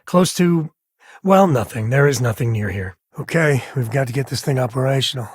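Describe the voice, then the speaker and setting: Man as video game space explorer dad: very husky mid-pitched voice, folksy, wry, easygoing, close and dry. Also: moderate pace, no room sound, lightly acted. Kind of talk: video game character dialogue.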